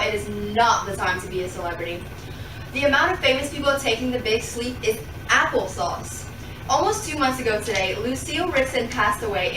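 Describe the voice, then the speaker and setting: A woman acts as an opinionated gossip reporter on a 1920s radio show. Her fairly high, emphatic voice sounds distant in an echoey room. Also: natural voice. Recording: echoey room, distant mic, clear noise.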